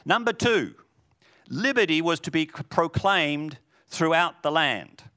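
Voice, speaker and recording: A man preaching a sermon in a booming voice, swinging from loud declarations to hushed lines. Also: sing-song, takes it slowly.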